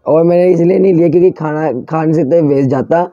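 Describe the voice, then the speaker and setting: A hoarse man chats to his viewers like friends at home, his voice sitting a little high yet mellow and dark in tone. The sound is dry and close. Soft music plays faintly behind him. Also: a little fast, dry recording, muffled recording. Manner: chatty, addresses viewers as friends. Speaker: vlogger. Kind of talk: casual food-challenge vlog.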